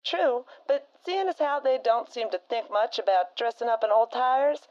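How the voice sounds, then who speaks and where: A woman's voice: fairly high pitch, animated, normal speed, darkish tone, lo-fi sound, natural voice. A woman doing a folksy, blunt frontier co-host. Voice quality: folksy drawl and slang. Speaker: radio co-host.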